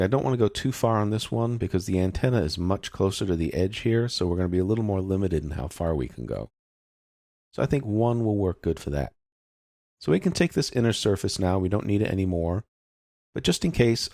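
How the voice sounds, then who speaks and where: Very deep-voiced man teaching software. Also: no echo, studio quality. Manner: explanatory, methodical, unscripted. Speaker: software tutorial instructor. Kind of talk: step-by-step screen tutorial narration, informal.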